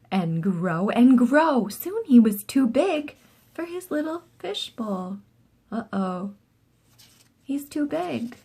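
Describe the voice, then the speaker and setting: A woman reading a picture book aloud to young children as an expressive storyteller. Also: a little high, husky.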